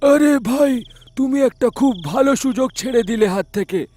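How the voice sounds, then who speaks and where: Man playing a greedy, high-pitched eager fellow. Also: normal speed, darkish tone, faint music behind. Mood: greedy, scheming. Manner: eager, exclamatory. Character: greedy male friend of a rickshaw driver.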